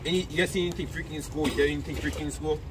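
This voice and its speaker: Brash high-voiced young man, teasing interviewer. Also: steady tone, very fast, heavy background noise. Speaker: host interviewer. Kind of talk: street interview vlog. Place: outdoors, school grounds.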